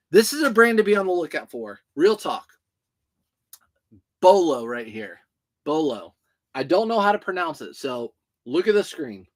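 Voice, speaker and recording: Man in his thirties, fairly high voice, casual, joking livestream chat. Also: a bit bright. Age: 30s. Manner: chatty, joking, reads out viewer comments. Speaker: reseller hosting a livestream. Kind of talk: casual livestream chat while packing orders.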